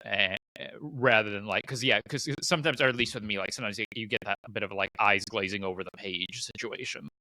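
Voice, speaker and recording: Male co-host, mid-pitched and bright, dry recording, loose rambling delivery that works thoughts out aloud. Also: fast talker, few pauses, dry recording, low-quality recording. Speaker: podcast co-host. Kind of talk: casual podcast chat.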